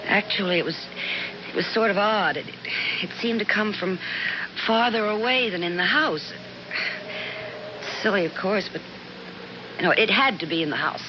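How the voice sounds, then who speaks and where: An actress playing an anxious, insistent wife at a snowbound cabin, stammering hesitantly in a 1970s radio drama. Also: female voice, mid-range voice, normal speed, long pauses, close to an everyday voice. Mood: uneasy, insistent. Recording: muffled, noisy 1970s radio recording.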